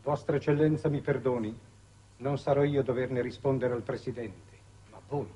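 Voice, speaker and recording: A man playing a stern, coldly dutiful military colonel with a husky voice, formal and commanding, in 1960s Italian television drama. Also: mid-range voice, steady tone, moderate pace, dark tone, natural voice, poor audio. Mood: cold, pressing.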